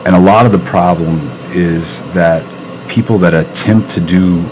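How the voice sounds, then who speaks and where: A man hosting a podcast in a studio, with a booming voice that gets loud when excited, talking in halting bursts full of fillers. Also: background noise. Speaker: podcast host. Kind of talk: casual interview chat. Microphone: close to a studio mic.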